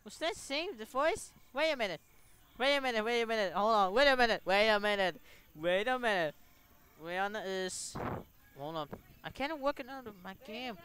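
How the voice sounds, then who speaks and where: A gaming video host with a Filipino accent giving casual, excitable, repetitive commentary on a game. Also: mid-range voice, normal speed, clear, resonant tone, no echo. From Philippines.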